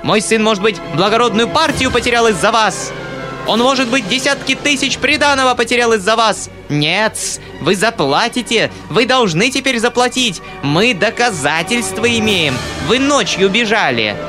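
A man's voice: high voice, lively intonation, fairly quick, voice pushed up. A man voicing a furious middle-aged noblewoman who screeches and scolds, with music playing behind. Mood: shrieking.

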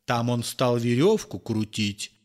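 A man narrating a verse fairy tale in an expressive, theatrical and measured way. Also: mid-range voice. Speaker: storyteller.

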